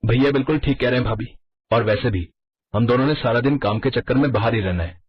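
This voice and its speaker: A man playing a casual, teasing young brother-in-law in a family story, with a husky voice. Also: mid-range voice, quick pace, noisy background, natural voice. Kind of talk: Hindi story cartoon drama. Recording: dull lo-fi audio.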